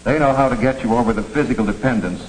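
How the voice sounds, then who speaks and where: A man's voice: mid-range voice, lightly acted. Man acting as a stern, formal American judge, old film. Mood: stern, measured. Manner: formal, measured, authoritative. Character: stern but fair municipal court judge. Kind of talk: 1950s educational film acting. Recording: noisy old 1950s film soundtrack.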